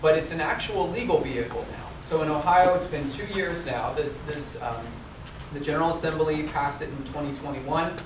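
A man's voice: a little high, moderate pace, distant mic. A middle-aged man giving a conference presentation in a lecture room, conversational with many ums, quizzing the audience. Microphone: far room camera, no mic seen. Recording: echoey room recorded from afar, noisy.

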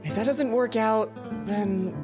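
A man's voice: high voice, takes it slowly, over music. A man voicing an indignant, brash teenage schoolgirl from a visual novel, in a whiny, exasperated way.

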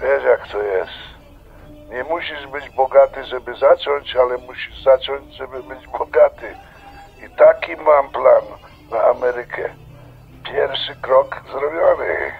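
A man's voice: medium pitch, takes it slowly, gravelly, breathy edge, phone-quality sound, soft background music, full character voice. An actor playing an ambitious, wry Polish immigrant man in a radio drama, self-assured, over a telephone.

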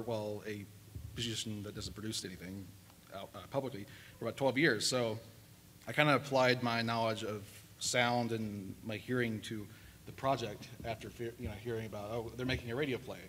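A man's voice: medium pitch, bright, forceful tone. A man chatting casually as a panelist in a convention room. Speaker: panelist, musician and sound worker. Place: large convention meeting room, panel table. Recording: faintly noisy convention room.

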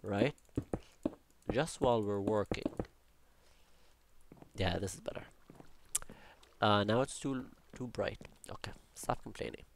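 A young man with an Arabic accent narrates his building session over gameplay in a relaxed, chatty way. He rambles, jokes and mutters to himself, in a lowish voice recorded close on a desktop mic. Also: slow, vocal fry, long pauses, a little hiss or hum. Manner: chatty, rambling, jokey asides and self-talk. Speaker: Minecraft building channel host. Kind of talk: casual gameplay commentary.

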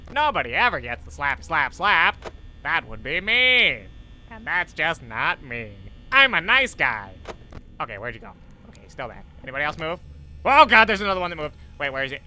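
A man's voice: a little high, very animated, moderate pace, bright, forceful tone, exaggerated voice. A man voicing a cartoonish, creepy schoolteacher who talks theatrically to himself while commentating on a horror video game. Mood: falsely sweet. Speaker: gaming video host.

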